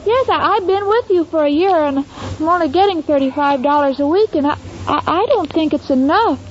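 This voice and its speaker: A woman's voice: high-pitched, lively intonation, moderate pace, some chatter behind. A woman playing a scatterbrained, naive young American secretary, eager to please and stammering earnestly, in 1940s radio comedy style. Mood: pleading, earnest. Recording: noisy dull 1940s radio recording.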